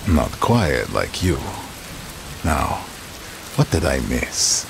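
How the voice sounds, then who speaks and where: Huge, very deep cocky gunslinger. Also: male voice, big pitch swings, extremely slow, vocal fry, heavily acted. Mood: confident. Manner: cocky, laconic, amused. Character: big cocky brawny trapper, slow-talking gunslinger. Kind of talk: dramatic video game cutscene acting. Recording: rain noise behind the voice.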